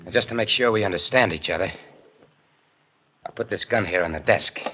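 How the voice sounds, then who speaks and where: An actor playing a paranoid, gun-wielding killer in 1940s radio crime style, talking in tough slang. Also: male voice, lowish voice, a little fast, noisy background, natural voice. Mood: cold, menacing. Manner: slangy, tough-guy talk with double negatives. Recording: dull old radio recording with music.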